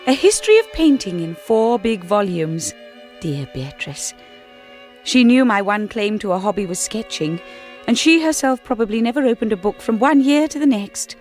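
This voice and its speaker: A woman's voice: medium pitch, big pitch swings, normal speed, darkish tone, faint music behind, natural voice. A woman playing a timid, anxious young wife in a radio drama, earnest and apologetic, with a refined English accent. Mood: rueful, reflective. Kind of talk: radio drama dialogue and narration.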